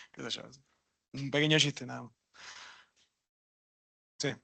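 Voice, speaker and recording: A man's voice: medium pitch, takes it slowly, gravelly. Man answering workshop questions. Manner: relaxed, thinking aloud, joking. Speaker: workshop instructor. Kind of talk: casual lecture Q&A.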